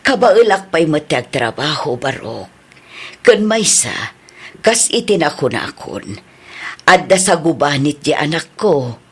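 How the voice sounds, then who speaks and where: A woman with an Ilocano accent and a husky voice acting a poor, gentle, doting mother in a radio drama. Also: low voice, very animated, takes it slowly, exaggerated voice. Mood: meek, weary.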